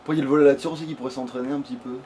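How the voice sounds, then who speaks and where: A man playing a video game and chatting casually, swearing and thinking out loud as he goes. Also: mid-range voice, steady tone, very fast. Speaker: player in a gaming let's play. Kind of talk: casual gaming chat while playing. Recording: noisy home recording with background ambience.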